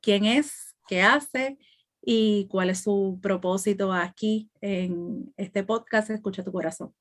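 A woman co-hosting a podcast, speaking reflectively with many pauses, fillers and restarts. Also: mid-range voice. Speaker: podcast co-host.

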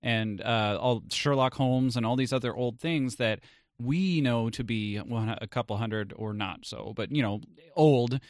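A man's voice: medium pitch, measured pace, dry recording, clean studio sound. A man hosting a casual interview podcast, speaking in a blunt, informal way with some swearing. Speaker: podcast host.

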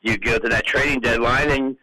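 A man's voice: mid-range voice, fairly even intonation, fairly quick, poor audio. A man calling in to a radio show as a football reporter, giving casual commentary. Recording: radio call-in with crowd noise behind.

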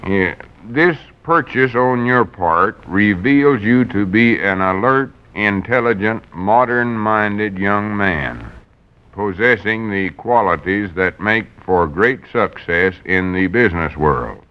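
An actor playing an excitable, gullible, vain country man with a folksy rural Southern drawl in old radio comedy. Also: on the low side, smooth. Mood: self-satisfied. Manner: folksy drawl, halting when reading aloud. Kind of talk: 1940s radio comedy acting. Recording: muffled vintage radio recording.

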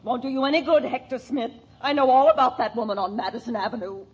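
An actress playing a melodramatic, jealous wronged wife in a 1950s radio sitcom, outraged and histrionic. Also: female voice, fairly high pitch, fast, natural voice. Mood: wounded, accusing. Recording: very noisy, muffled old radio broadcast.

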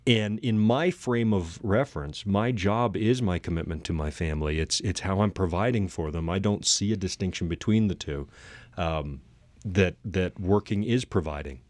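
A man's voice: low-pitched, moderate pace, darkish tone, no room sound. A man from Canada hosting a casual talk show, joking at his own expense. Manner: jokey, self-mocking. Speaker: podcast host.

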